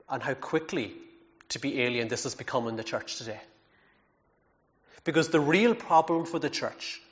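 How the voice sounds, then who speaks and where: An earnest man delivering a sermon at a middle pitch, emphatic and heartfelt. He often repeats words as he builds his points, with a wry self-deprecating aside now and then. The recording sounds a little dull and lo-fi. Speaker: preacher.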